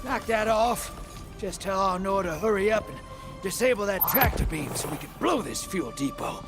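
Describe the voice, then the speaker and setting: A roguish, wisecracking smuggler from a sci-fi game. The voice is high and creaky, with animated swoops in pitch. The talk is glib and loose. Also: male voice, normal speed. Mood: exasperated. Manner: glib, cheeky, loose and colloquial. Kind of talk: video game character dialogue. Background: game music.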